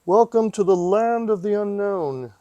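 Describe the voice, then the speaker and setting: A man with a somewhat high-pitched voice talks through a hands-on repair. He speaks casually, as if to himself, thinking aloud and putting questions to himself as he works. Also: measured pace, warm tone, no room sound, highs cut off. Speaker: vlogger, hobby repair host.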